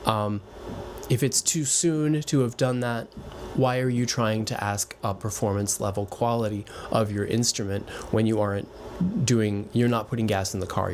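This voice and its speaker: A man who teaches voice gives gentle, reassuring advice straight to his viewers in a relaxed, conversational way, now and then restarting a phrase. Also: lowish voice, slight background noise. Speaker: voice and piano teacher. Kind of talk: direct-to-camera advice talk.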